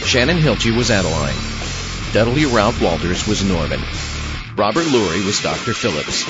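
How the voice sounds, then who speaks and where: Low-voiced man announcing over music. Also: animated, moderate pace, dull audio. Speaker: announcer reading credits. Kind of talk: scripted narration.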